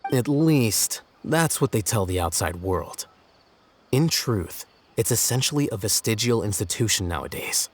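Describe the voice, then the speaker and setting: A dry, deadpan stern young man. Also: fairly low pitch, a little slow. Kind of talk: video game voice acting.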